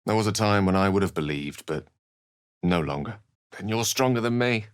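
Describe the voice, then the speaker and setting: Low-pitched man acting as a scholarly wizard, very animated intonation, eloquent, courteous, wry, theatrical fantasy game delivery. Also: clear, resonant tone, no echo, studio quality, lightly acted. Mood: solemn. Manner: eloquent, formal, measured and dramatic. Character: erudite, courteous adult male wizard, wry and melancholy. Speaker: video game companion character.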